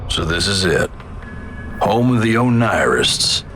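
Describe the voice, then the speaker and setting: A man playing a grave, brooding frontier narrator in a video game, with an American Western accent and slow, ominous, folksy phrasing. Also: deep voice, sing-song, a little hiss or hum, exaggerated voice. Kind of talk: dramatic scripted game narration, addressing the listener. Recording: loud background music. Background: loud music.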